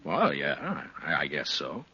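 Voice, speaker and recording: A deep, expressive male voice as a modest frontier marshal in a vintage radio western. He talks in a plain, easygoing, hesitant way, on a dull old recording with music. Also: unhurried, a little hiss or hum, exaggerated voice. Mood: reserved, hesitant. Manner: conversational, hesitant, plain-spoken. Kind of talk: 1950s radio western acting and narration.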